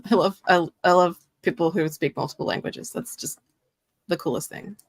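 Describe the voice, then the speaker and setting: A woman's voice: fairly low pitch, moderate pace, dry recording. A woman co-hosting a casual livestream chat, earnest and rambling as she works through ideas. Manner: rambling, many "like" fillers, earnest.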